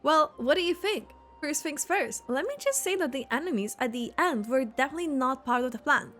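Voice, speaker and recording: An earnest young coach in a video game, high in pitch and lively in intonation. She sounds practical, brisk and friendly, over faint music. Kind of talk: video game character acting.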